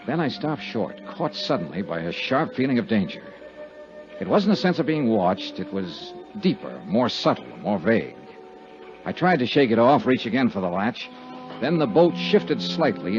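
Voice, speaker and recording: An American actor playing a tough insurance investigator in a 1950s radio drama, speaking in clipped, dramatic, hard-boiled phrases. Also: male voice, mid-range voice, lightly acted. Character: tough, quick-witted male insurance investigator narrating his case. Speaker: lead actor and narrator. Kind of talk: 1950s radio detective drama acting, with first-person narration. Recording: dull vintage 1950s radio recording.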